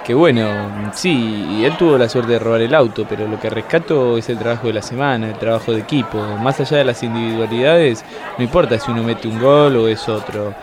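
Man acting rustic footballer lawyer, Argentine accent, medium pitch, plain post-match manner, radio comedy, crowd noise. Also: fairly quick, natural voice. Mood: earnest, plodding. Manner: plain, cliché-laden athlete's post-match talk. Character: rustic male defender talking like an interviewed footballer. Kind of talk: comic radio play acting.

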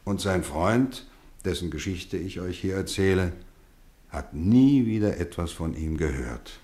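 A warm, strict boarding-school housemaster in a vintage radio play. He speaks low and lively, his pitch rising and falling, with a teasing kindness. A roomy studio echo hangs around his voice. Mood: storytelling. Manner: warm, measured, gently teasing. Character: kindly strict male boarding-school teacher, middle-aged. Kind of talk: 1950s radio drama acting.

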